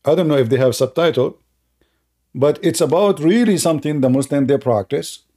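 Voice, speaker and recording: Guy chatting loosely, lots of "you know"s, warm mellow tone. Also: fairly high pitch, fairly quick. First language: Arabic. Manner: loose, talky storytelling with non-native grammar and frequent fillers. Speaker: commentator. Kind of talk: casual commentary while showing a video on screen.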